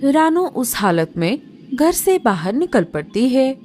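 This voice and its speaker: Children's storyteller: a woman, slightly high, animated and unhurried. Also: slight background noise. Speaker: narrator.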